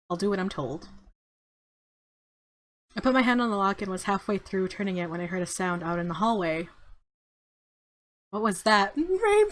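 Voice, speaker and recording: A woman's voice: a little high, a bit bright, slight background noise. A woman hosting a let's play with casual, slangy commentary, giggly and easily spooked as she reacts. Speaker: gaming video host, let's player. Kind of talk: casual let's play commentary with visual novel read aloud.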